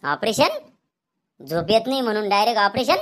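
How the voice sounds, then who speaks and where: A man's voice: high-pitched, animated, brisk, heavy background noise. A man voicing a cheeky, doubting male patient in a comedy cartoon, complaining and arguing in a Vidarbha Marathi accent. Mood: alarmed, protesting. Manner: complaining, argumentative.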